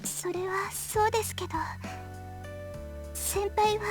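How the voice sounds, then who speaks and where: A woman playing a shy, soft-spoken, polite teenage schoolgirl in a Japanese visual novel. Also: very high-pitched, little pitch movement, moderate pace, music in the background. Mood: worried, hesitant.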